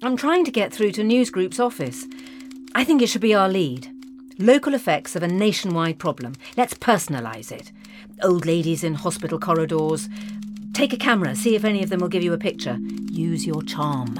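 An actress playing a brisk female news editor in an audio drama, with a clipped and decisive delivery. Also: lowish voice, animated, soft background music, close to an everyday voice. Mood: businesslike.

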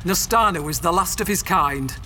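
Fierce, sharp-voiced alien warrior captain. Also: female voice, fairly low pitch, lively intonation, normal speed, a little hiss or hum. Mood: suspicious, haughty. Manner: imperious, barking orders. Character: fierce, proud alien warrior captain, female, quick to anger. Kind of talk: full-cast audio drama acting.

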